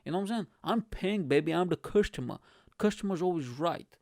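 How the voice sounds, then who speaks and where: A man in his early thirties from Bulgaria, hosting his podcast with a lively, swearing, casual storytelling manner, recorded close on a stand mic in a small room at home. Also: fairly high pitch, a little fast, no room sound. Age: 30s. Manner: animated, swearing, laughing, acts out quotes. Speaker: podcast host. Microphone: studio mic on stand close to mouth.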